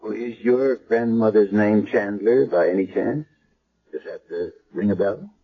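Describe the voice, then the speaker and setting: An actor playing a middle-aged hospital chief of staff, brisk and condescending, questioning a patient in a businesslike way in a 1970s radio drama. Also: male voice, lowish voice. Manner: crisp, businesslike bedside questioning. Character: brisk, condescending male doctor in charge. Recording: dull old radio broadcast.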